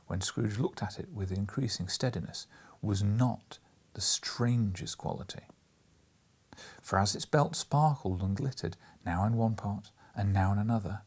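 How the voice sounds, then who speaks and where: A man reading an audiobook as dramatic literary narration, theatrical and sometimes hushed, with emphatic stresses. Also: medium pitch, husky. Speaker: audiobook reader.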